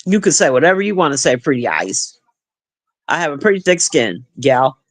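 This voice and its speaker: A middle-aged woman with a deep, husky smoker's voice, chatting profanely and bluntly with her livestream viewers. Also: normal speed. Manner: profane, blunt, animated banter. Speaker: livestream host reading chat. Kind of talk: casual livestream chat.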